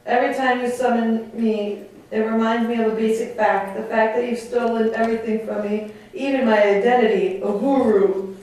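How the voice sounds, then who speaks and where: A woman playing a bitter, defiant enslaved man, accusing and deliberate in stage dialogue. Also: a little high, fairly even intonation, a little mellow, close to an everyday voice. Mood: bitter defiance. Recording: very echoey room, far from mic.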